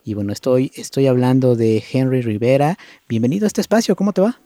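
A man interviewing a singer, asking measured, formal questions with some fillers. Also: mid-range voice, warm tone, no room sound.

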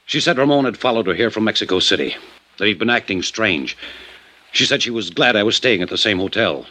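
A bitter, grieving American man in a 1950s radio play. His very deep voice has a hard, forceful edge, and he is blunt and brooding. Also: animated, brisk. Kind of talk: 1950s radio drama acting. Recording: 1950s radio drama with music behind.

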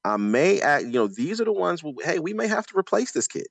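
A man's voice: fairly high pitch, very animated, a little fast, gravelly, dry recording. A man giving casual, conversational sports analysis on a podcast.

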